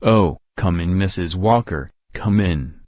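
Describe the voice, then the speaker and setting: Low-voiced, bluff older business boss. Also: male voice, slow pace, darkish tone, soft background music. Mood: brisk, self-satisfied. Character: bluff, self-satisfied department store owner. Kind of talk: 1940s radio drama acting.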